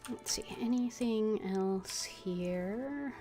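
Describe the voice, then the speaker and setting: A woman's voice: fairly high pitch, drawn-out words, warm tone. A woman streaming a game, reading dialogue lines aloud and adding casual commentary. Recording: game music and keyboard typing behind.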